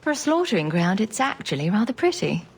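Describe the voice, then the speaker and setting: A woman playing a confident, sardonic adventurer with a wry, deadpan manner in a scripted fantasy game. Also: mid-range voice, brisk, slight background noise. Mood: dry, sardonic.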